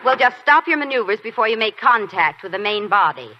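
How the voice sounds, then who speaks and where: An actress as a wry young Army nurse on old radio. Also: female voice, fairly high pitch, animated, brisk, a little hiss or hum, lightly acted. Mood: teasing. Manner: dry, teasing wit. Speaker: Army nurse lieutenant and narrator. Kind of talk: 1940s radio drama acting. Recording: dull 1940s radio broadcast with faint music.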